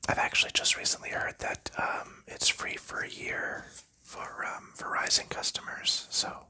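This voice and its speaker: A man whispers for an ASMR video in a very bright, airy tone. His talk rambles at an unhurried pace, full of little fillers and soft laughs. He chats as he sorts board game pieces. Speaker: ASMR creator.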